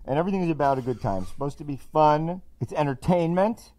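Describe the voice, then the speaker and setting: A man's voice: a little high, animated, fast talker, warm tone, no room sound, rough recording. A man guesting on a radio show as a band member, playful and joking in a casual interview. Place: radio studio.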